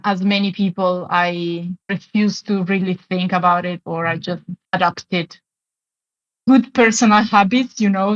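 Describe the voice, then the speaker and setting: A woman's voice: on the low side, muffled recording. A woman in her thirties from Italy, speaking English with an Italian accent as an interview guest, earnest and conversational. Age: 30s. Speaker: guest, climate activist. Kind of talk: casual interview talk.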